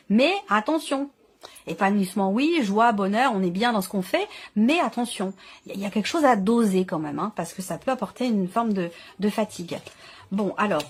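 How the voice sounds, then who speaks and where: A woman reading tarot cards for viewers, speaking off the cuff, searching for words with repetitions and self-corrections as she explains. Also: on the high side. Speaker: tarot reader, channel host. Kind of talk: intuitive tarot reading addressed to viewers, unscripted.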